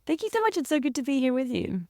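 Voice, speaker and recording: A woman's voice: high voice, lively intonation, quick pace, dark tone, dry recording, clean studio sound. An Australian woman in her forties, talking casually and conversationally as an interview guest. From rural South Australia. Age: 40s. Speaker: interview guest, leadership consultant and researcher.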